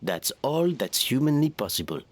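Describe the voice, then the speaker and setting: A man's voice: mid-range voice, big pitch swings, normal speed, lightly acted. An actor voicing an upbeat, philosophical male restaurant worker in a video game, emphatic and reassuring.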